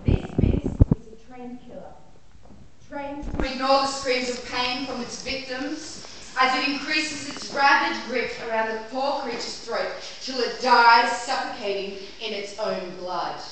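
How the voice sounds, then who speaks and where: A woman on stage playing a pompous, melodramatic defense lawyer, addressing a jury with grandiose, deliberate delivery and dramatic pauses. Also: sits high, steady tone. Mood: menacing, dramatic. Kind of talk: theatrical courtroom monologue in an amateur stage play. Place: small theatre stage before a live audience. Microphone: distant camera microphone. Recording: echoey theatre, far from mic, faint noise.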